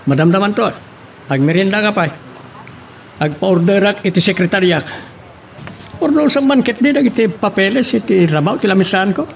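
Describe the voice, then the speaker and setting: High-pitched, creaky Ilocano boss. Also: male voice, animated, moderate pace, pauses often, slight background noise. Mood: commanding, casual. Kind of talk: Ilocano radio drama acting.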